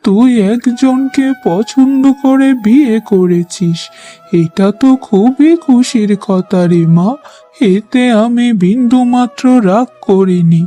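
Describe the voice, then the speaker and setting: A man playing a kindly, forgiving older fisherman father in a cartoon story, tender and drawn-out in delivery. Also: very high voice, warm tone. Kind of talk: melodramatic cartoon story dubbing. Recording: music under the voice.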